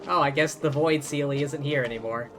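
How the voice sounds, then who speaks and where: A man's voice: on the high side, brisk, over music. A man streaming a video game live, commenting and reading dialogue aloud.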